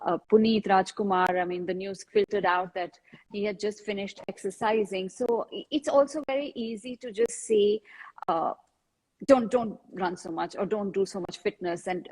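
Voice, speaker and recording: A woman hosting a discussion in a conversational, halting way, with a husky voice. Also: medium pitch, a little mellow, poor audio. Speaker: host, moderator. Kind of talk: conversational interviewing.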